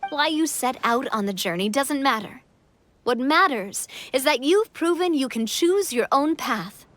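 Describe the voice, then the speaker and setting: A woman playing a kind, composed young monarch in an English-dubbed fantasy game cutscene, speaking in an earnest, reassuring and measured way. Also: sits high, expressive, a bit bright, slight background noise. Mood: encouraging.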